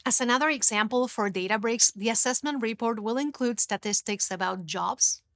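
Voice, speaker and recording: A woman in her thirties presenting a scripted technical explainer in a studio, in a clear, even, instructive way. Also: fairly high pitch, normal speed, no room sound. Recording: dry studio sound.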